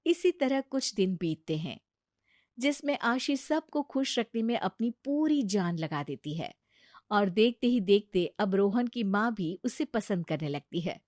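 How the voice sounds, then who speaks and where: A woman doing scripted narration for a cartoon story, with an even storytelling delivery. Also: mid-range voice, unhurried, dry recording, studio quality.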